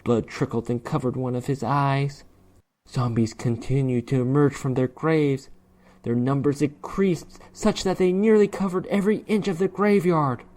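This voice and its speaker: A man reads horror fiction aloud as a narrator. His voice sits at a medium pitch. He delivers the prose theatrically, leaning on words for dramatic emphasis. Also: fairly even intonation, moderate pace, darkish tone. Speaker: narrator reading a short story.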